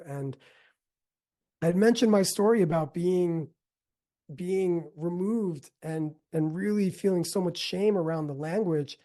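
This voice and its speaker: Mellow, earnest American man teaching. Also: on the high side. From United States. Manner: earnest, deliberate, repeats phrases for emphasis. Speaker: yoga teacher and speaker. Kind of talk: reflective spoken talk with personal storytelling.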